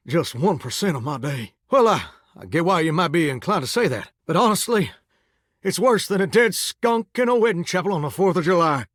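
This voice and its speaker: A man's voice: high-pitched, a bit bright, no echo, studio quality, exaggerated voice. A man playing a folksy, flirtatious talking shower in a video game, with a theatrical Southern US drawl and a wistful streak. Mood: animated, explaining. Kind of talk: video game character acting.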